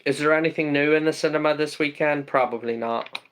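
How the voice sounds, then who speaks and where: A man's voice: a little high, steady tone, fairly quick, fairly bright tone, slight background noise. A man from the UK hosting a casual live stream, greeting viewers by name and rambling with lots of ums and uhs.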